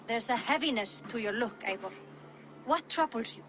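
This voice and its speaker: An actress playing a gentle, concerned female Viking ally in a game cutscene. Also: on the high side, expressive, normal speed, background noise, close to an everyday voice.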